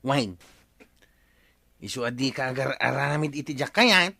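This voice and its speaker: A drawling village watch chief with an Ilocano accent in a radio comedy. He speaks very slowly in a gravelly voice with a bright, pinched edge. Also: medium pitch, no room sound, heavily acted. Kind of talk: comic radio drama acting.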